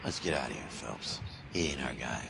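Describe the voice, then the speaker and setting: A weary old police detective in a crime game. His voice is rock-bottom low and full of gravel, laconic and gruff. Heavy vehicle noise runs behind him. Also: animated, moderate pace, exaggerated voice. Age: older. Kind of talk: dramatic video game acting.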